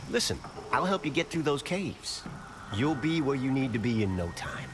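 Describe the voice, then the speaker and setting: An actor playing a roguish older American adventurer in a video game, with a folksy, teasing manner and wry charm. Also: male voice, medium pitch, sing-song, moderate pace, noisy background, lightly acted. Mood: persuasive, wry. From United States. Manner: folksy, teasing, drawling wisecracks. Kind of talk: video game cutscene acting.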